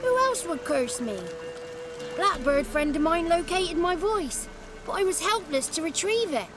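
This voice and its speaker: A woman's voice: very high-pitched, animated, a little slow, music in the background. A woman voicing a cheeky, childlike male swamp creature in a video game, talkative and theatrical, with boastful, florid wordplay. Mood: indignant, theatrical. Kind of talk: video game character acting.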